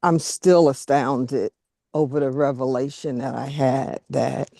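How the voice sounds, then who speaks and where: A woman in a casual, reflective chat, speaking earnestly in short phrases with pauses mid-sentence. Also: rumbling low, steady tone, vocal fry, warm tone, no room sound, clean studio sound.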